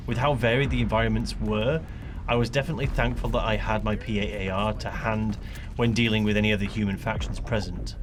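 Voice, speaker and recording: A man who creates gaming videos, speaking as narrator and player with casual gamer slang. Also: on the low side. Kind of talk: scripted gameplay narration mixed with live in-game chatter. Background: music.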